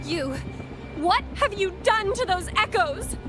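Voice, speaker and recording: A woman's voice: extremely high pitch, expressive, slow pace, music in the background, exaggerated voice. An actress playing an earnest, devout young woman of a religious order in a video game, polite and formal. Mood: alarmed.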